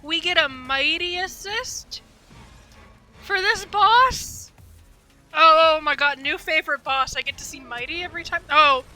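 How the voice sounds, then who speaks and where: Excitable high, bright woman streamer. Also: a little slow. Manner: excitable, gushing fan talk. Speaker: game streamer. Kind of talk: live gaming commentary, casual chat. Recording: game music behind the voice. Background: video game music.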